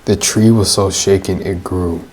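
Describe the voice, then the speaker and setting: A woman's voice: very deep voice, fairly even intonation, a little slow, a little mellow, a little hiss or hum. A woman giving casual, slangy, jokey commentary while playing through a game. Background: game music.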